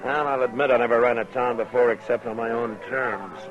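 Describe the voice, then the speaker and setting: A man playing a calm, weary, steadfast frontier marshal with an American accent and measured, understated delivery in a 1950s radio western. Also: mid-range voice, lo-fi sound, soft background music, close to an everyday voice. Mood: weary, resolute. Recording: vintage 1950s radio recording.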